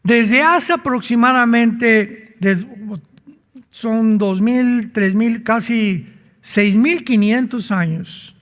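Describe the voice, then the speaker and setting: A man's voice: very high-pitched, drawn-out words, fairly bright tone, long reverb tail. A man preaching a sermon as a pastor, emphatic and full of rhetorical questions.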